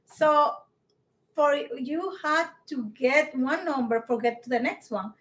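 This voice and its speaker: A woman's voice: high voice, a bit bright. A woman co-hosting a casual chat in English, which is not her first language, arguing earnestly and emphatically with frequent restarts. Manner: earnest, emphatic, halting non-native English with many restarts. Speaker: podcast co-host.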